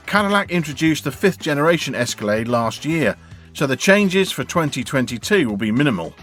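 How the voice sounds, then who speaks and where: A man narrating a scripted video in an even, read-out delivery. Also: a little high. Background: faint background music.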